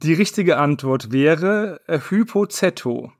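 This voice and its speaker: Mann, etwas hohe Stimme, liest vor. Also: male voice, a little high, lively intonation, slow pace. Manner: reads definitions aloud, explains. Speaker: quiz host. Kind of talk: casual podcast quiz.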